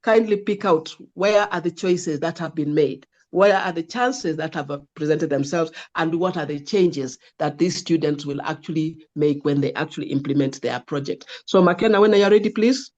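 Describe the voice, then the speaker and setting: A woman's voice: low-pitched, normal speed, hardly any pauses, darkish tone, lo-fi sound. A woman moderating an online class, speaking in a directive, instructive way as a lecturer. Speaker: lecturer moderating student presentations.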